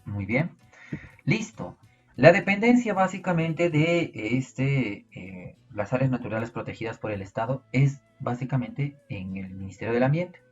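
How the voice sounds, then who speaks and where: A man's voice: medium pitch, lively intonation, measured pace, slightly rough, dull audio, faint music behind. A man with a Peruvian Spanish accent teaching an online biology class, explaining step by step and asking his students rhetorical questions. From Peru.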